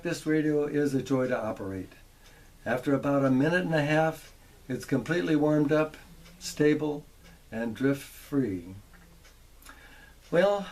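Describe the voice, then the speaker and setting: A man hosting a hobbyist demonstration, explaining things in a measured, methodical way. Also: fairly high pitch, darkish tone. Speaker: host of a radio demonstration. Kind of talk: explanatory hobbyist product tour.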